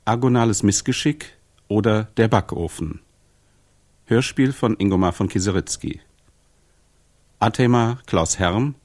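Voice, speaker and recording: A man's voice: on the low side, darkish tone, no echo, studio quality. A man reading scripted play credits as a radio announcer.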